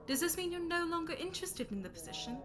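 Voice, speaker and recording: A woman's voice: very high-pitched, sing-song, fast, crowd noise. A woman playing a formal, challenging board member in an audio drama, with a pointed manner.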